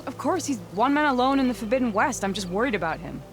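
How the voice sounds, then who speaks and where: A woman's voice: on the high side, lively intonation, fast, close to an everyday voice. An actress playing a proud young female hunter in a video game, speaking earnestly with spirited bursts. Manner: earnest and wistful, with spirited outbursts. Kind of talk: video game cutscene voice acting. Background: game music score.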